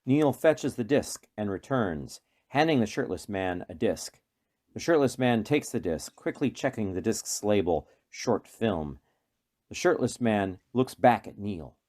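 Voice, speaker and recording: Slow, lowish male drama narrator. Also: animated, darkish tone. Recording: faint music behind.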